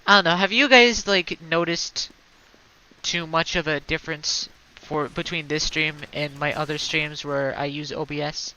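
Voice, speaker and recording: A woman's voice: low voice, fairly even intonation, unhurried, pushed and bright, a little hiss or hum, poor audio. A woman streaming a game in casual, rambling chatter, slightly stuttering and congested from allergies. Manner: rambling, heavy on fillers and restarts.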